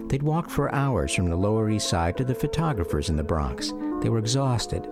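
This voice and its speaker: A man narrating a scripted radio drama about his family in a measured, literary storytelling manner. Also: fairly low pitch, sing-song, vocal fry. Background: loud music under the voice.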